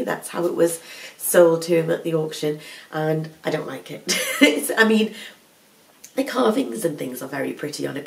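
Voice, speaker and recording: A woman's voice: lowish voice, vocal fry. A woman, stuffed up with a cold, chatting rambly to the camera at home. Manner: rambling, self-correcting, chatty. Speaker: vlogger, full-time mum. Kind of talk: casual chatty vlog to camera. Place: living room at home. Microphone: camera on a tripod at a distance. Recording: mic somewhat far off.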